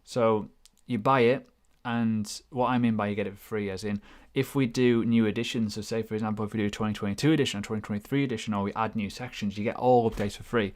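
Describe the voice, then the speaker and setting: A man's voice: on the low side, fairly even intonation, fast talker, hardly any pauses. A man hosting a casual live question-and-answer stream, chatty and self-deprecating, rambling through asides. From United Kingdom. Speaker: crypto livestream host.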